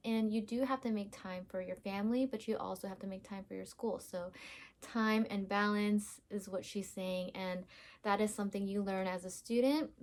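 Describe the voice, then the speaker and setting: Upbeat woman vlogger encouraging viewers. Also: mid-range voice. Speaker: host, sonographer. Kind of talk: vlog talking to viewers.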